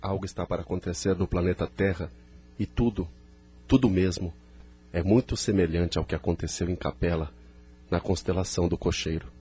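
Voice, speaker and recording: A man's voice: sits low, steady tone, fairly quick, dark tone, soft background music, close to an everyday voice. A man with a Brazilian accent playing a grave, knowing spirit researcher in an audio drama, emphatic and formal.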